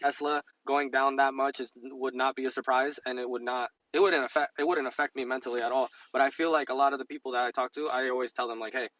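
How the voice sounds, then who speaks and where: A man co-hosting a podcast in casual chat, halting and repeating phrases as he thinks, on a slightly dull mic. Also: mid-range voice, steady tone, a bit bright. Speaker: podcast co-host discussing stock investing.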